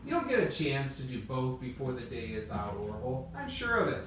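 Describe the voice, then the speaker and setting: A man's voice: mid-range voice, wildly swooping pitch, brisk. A man playing a confident, wry inventor in an amateur radio play. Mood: wry, assured. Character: Wilbur Wright, confident, wry adult male inventor. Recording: echoey room, distant mic, noisy background.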